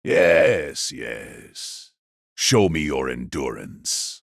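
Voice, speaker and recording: A man's voice: extremely low pitch, lively intonation, drawn-out words, gravelly, breathy edge, no room sound, studio quality, pitched below the performer's own voice, full character voice. A man playing a cold, mocking elder brother, an elite warrior, in an audio drama, speaking in a frank, condescending way. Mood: scornful, commanding.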